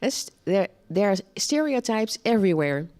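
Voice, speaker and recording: A woman presenting a live seminar talk on culture, speaking in a measured, plain way and checking in with her audience. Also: medium pitch, very animated, a little mellow.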